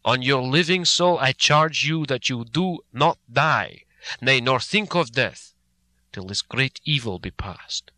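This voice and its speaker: A grave, fatherly old professor, as a narrator puts him on, pleading slowly and heavily. The voice rings bright and close, with a dry sound and no room around it. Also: mid-range voice, lively intonation, dry recording. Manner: slow, heavy, deliberate pleading. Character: solemn elderly male professor, grave and fatherly.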